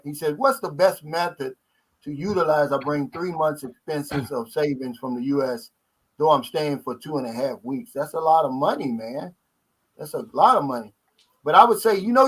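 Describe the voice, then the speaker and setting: A middle-aged man hosts a live stream, chatting in a relaxed, folksy way. His pitch sits rather high for a man. He reads viewer questions aloud and answers them casually. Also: slightly rough, rough recording. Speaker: host.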